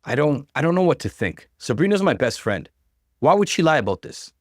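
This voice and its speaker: A hurt, confused wife in a story reading, played by a male narrator. The delivery is hesitant and guarded, with lively movement in pitch. The sound is dry and close. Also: brisk, dry recording. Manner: hesitant, faltering.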